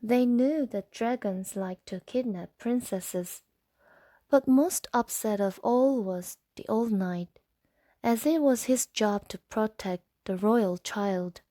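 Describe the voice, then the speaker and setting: A woman gently and expressively reading a storybook aloud in a soft bedtime style. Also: a little high, takes it slowly, no echo.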